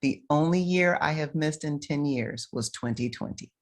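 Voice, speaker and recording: A middle-aged American woman with a notably low voice talks as a podcast guest. She coaches fitness and wellbeing and speaks emphatically, driving her points home in a motivational way. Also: normal speed. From United States. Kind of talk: casual interview talk.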